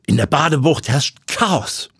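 A bold young reporter, as a male narrator puts her on, asking question after question in a raised voice. The tone has a husky grain, recorded close and dry. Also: a little high, expressive, unhurried, fairly bright tone, no echo, pitched above the performer's own voice, heavily acted. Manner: inquisitive, persistent.

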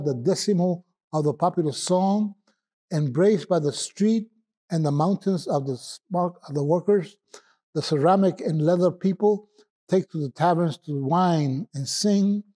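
A man with a Puerto Rican accent, a poet telling stories in a rambling, reminiscing way with filler pauses. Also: fairly high pitch, dark tone, breathy edge. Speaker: poet guest. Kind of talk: relaxed conversational storytelling.

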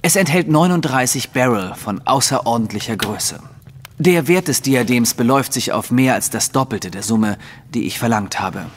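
A man's voice: mid-range voice, animated, moderate pace, close to an everyday voice. Actor as haughty nobleman in reverberant room. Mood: imperious, assured. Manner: formal, commanding, self-assured. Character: haughty, secretive nobleman of high rank. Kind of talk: audio drama acting. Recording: reverberant hall, faint background noise.

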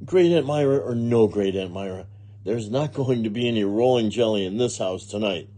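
A man's voice: lowish voice, normal speed, warm tone, close to an everyday voice. A man voicing a cheerful, literal-minded woman housekeeper in a children's story, with folksy, earnest phrasing. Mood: musing. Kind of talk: children's storybook character reading.

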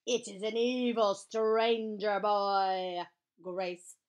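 A woman voicing a chatty, bossy, excitable kindergarten girl who tells her own story in childlike, emphatic speech for a children's audiobook. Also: mid-range voice, moderate pace. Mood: bossy, shouting. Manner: childlike grammar, emphatic, dramatic. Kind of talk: first-person children's audiobook narration.

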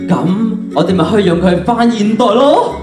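A teenage boy with a Hong Kong Cantonese accent playing an excitable, greedy young time traveller in a theatrical school radio drama. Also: high-pitched, moderate pace. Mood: curious. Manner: exclamatory, theatrical. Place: large auditorium stage. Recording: echoey hall from far off, music behind.

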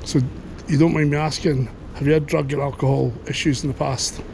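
Male interviewer, gravelly, encouraging, outdoor street ambience. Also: on the high side, little pitch movement, brisk. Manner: encouraging, frequent "aye" and "right, right". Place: outdoors at a city railway station. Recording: street noise behind.

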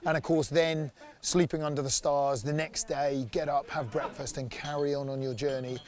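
A man's voice: on the high side, little pitch movement, normal speed, a little mellow. Upbeat man interviewed in the woods. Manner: enthusiastic, upbeat. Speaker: outdoor activity company director. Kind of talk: promotional interview. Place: outdoors in a sunny woodland clearing. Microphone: lapel mic.

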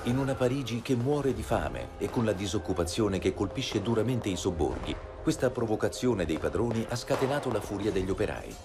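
Documentary narrator, low voice, lively, clear music under. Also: male voice. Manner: measured, even delivery.

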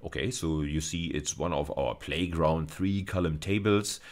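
A man's voice: a bass voice, unhurried. A middle-aged man lecturing on technical slides, explaining step by step with hesitations and frequent check-ins. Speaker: university lecturer.

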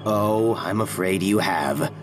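A man's voice: deep voice, slow pace, far from the mic, over music. A man playing a vengeful, insistent jealous husband in a horror audio drama. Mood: menacing. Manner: insistent, interrogating.

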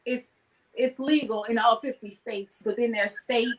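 A woman's voice: a little high, steady tone, unhurried, low-quality recording. A woman in her thirties chatting casually as a guest on a video call, talking about her own work. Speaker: guest, wellness business owner. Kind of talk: casual chat with self-promotion. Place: indoor room on a video call.

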